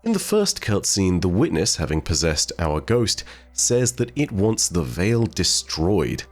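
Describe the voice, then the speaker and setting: A man giving explanatory video game lore commentary to viewers in a measured, articulate, conversational way. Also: deep voice, very animated. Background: faint music.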